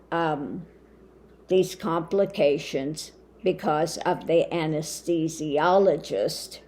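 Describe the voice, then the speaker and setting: A woman talking casually to her viewers in a halting way, her voice lowered and weakened after throat surgery. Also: dark tone. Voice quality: lowered, limited voice. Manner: slow, halting, with many fillers. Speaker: spiritual channel host. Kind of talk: casual monologue to camera.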